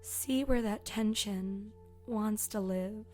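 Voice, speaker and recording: A woman guides a meditation in a very slow, relaxed and mellow voice. She is soft and gentle, leaving long pauses and drifting toward a breathy near-whisper. Gentle music with singing bowl tones sits behind her. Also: mid-range voice. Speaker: yoga and meditation teacher.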